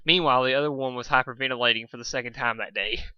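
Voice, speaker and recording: A man's voice: mid-range voice, steady tone, racing, smooth, dry recording, dull audio. A man reading fan fiction as an informal dramatic storyteller, often stumbling over his words.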